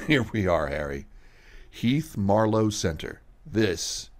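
Male actor as kindly reformed man, radio drama, earnest, lowish voice, hugely animated intonation. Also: slow pace. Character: haunted, kindly reformed man helping others.

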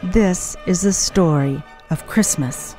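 A woman narrating a scripted dramatic story in old radio style, reverent and deliberate. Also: on the low side, warm tone, faint music behind, low-quality recording.

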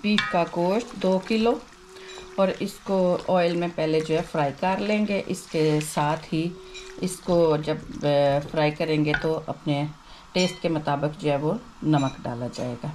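A woman with a sore, hoarse throat talks chattily through each cooking step, her voice sitting on the low side. Sizzling and frying from the pan come through clearly under her voice. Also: unhurried, background noise. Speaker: cooking channel host. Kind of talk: home cooking demonstration. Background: frying and sizzling in a kitchen.

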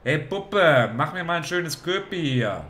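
An actor playing a gruff, crude, bossy male police inspector in a parody detective audio drama. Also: fairly high pitch, big pitch swings, measured pace, fairly bright tone, a little hiss or hum. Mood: brash.

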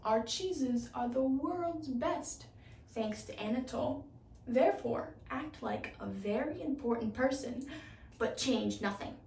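A businesslike factory president from a children's storybook, read by a teenage girl. The voice is high and unhurried as it hands out instructions. The recording is roomy, with the mic at a distance. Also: lively intonation, creaky, darkish tone, slight background noise, exaggerated voice. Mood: instructing. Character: sick, middle-aged male cheese factory president.

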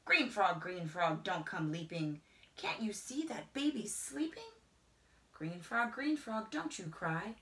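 A middle-aged woman reads a picture book aloud to children in a rhythmic, singsong way, often dropping into hushed whispers. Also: medium pitch, very animated, takes it slowly. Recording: roomy indoor echo.

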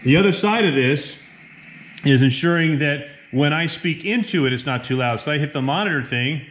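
A man's voice: on the high side, lively intonation, moderate pace, a bit bright, low-quality recording. A middle-aged American man narrating a casual hands-on how-to as a hobby radio operator, speaking at a table indoors. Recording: clear background room noise.

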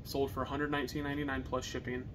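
A young man with an American accent chatting casually to camera as a reseller, with halting phrasing. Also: mid-range voice, level tone, a bit bright. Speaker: reseller co-hosting a video channel. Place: partially finished basement, indoors. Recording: noisy basement room recording.

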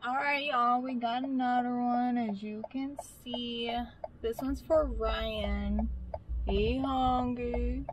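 A woman's voice: a little high, fairly even intonation, slow pace, lo-fi sound, noisy background. A young woman chatting to viewers from her car while driving deliveries, in a casual, upbeat way. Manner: chatty, talks to viewers, brightens when greeting staff. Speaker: delivery driver vlogger. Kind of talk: casual vlog chat. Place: inside a car. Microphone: camera on the dashboard. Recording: music playing in a car.

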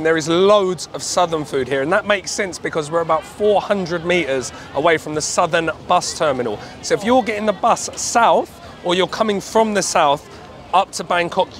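A man's voice: high voice, a bit bright. A man, vlogging chattily and enthusiastically about street food, talking into a camera held at arm's length. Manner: chatty, enthusiastic, addresses viewers as guys. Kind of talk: casual street food vlog. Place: outdoor night market. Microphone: handheld camera at arm's length. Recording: faint outdoor market noise.

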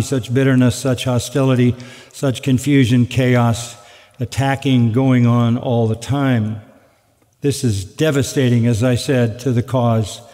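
An older male pastor preaching from a pulpit, measured and earnest with dry asides. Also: mid-range voice, a little mellow. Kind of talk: expository sermon. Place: large church sanctuary at a wooden pulpit. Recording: long reverb of a large sanctuary.

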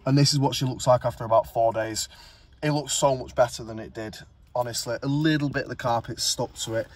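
A man's voice: mid-range voice, steady tone, brisk. A man with a British accent giving casual, informal and explanatory commentary as a car restoration vlogger. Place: in a car, out on a street, and at a computer. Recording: noisy handheld recording, vehicle ambience.

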